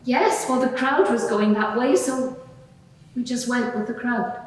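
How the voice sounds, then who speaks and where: In a reverberant hall, far from the microphone, a woman plays a frank female witness in her forties with a fairly high voice. She testifies plainly and earnestly, halting now and then, in a courtroom drama. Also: close to an everyday voice. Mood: sombre. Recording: echoey hall, distant microphone.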